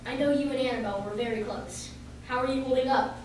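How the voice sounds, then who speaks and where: A woman's voice: sits high, steady tone, fast, natural voice. A woman playing a firm detective in a radio play, recorded in a very echoey room. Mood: businesslike. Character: firm detective questioning former classmates at a crime scene. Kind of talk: amateur radio play acting. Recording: very echoey room, distant mic, faint noise.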